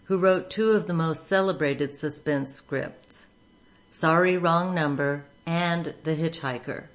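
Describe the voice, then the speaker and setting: A woman giving scripted narration as the host of a radio show. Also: low voice.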